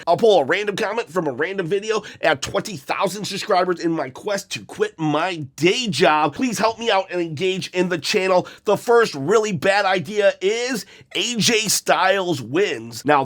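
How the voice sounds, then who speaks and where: A man hosting a wrestling opinion show, chatting casually with jokey asides, his voice slightly hoarse from being sick. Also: sits high, a bit bright. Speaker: wrestling commentary host.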